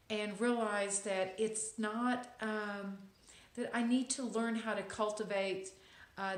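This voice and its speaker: A woman in her fifties with a Texas accent, giving a casual, reflective inspirational talk to camera with wry asides and frequent ums. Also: medium pitch. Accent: Texan. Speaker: vlogger. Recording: echoey room.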